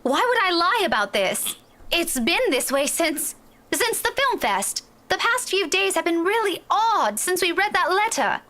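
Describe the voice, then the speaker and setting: Bright, high-voiced earnest young woman. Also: sing-song, unhurried, full character voice. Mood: defensive. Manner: insistent, earnest. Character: earnest, exasperated young woman among quarrelling friends. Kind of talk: visual novel voice acting.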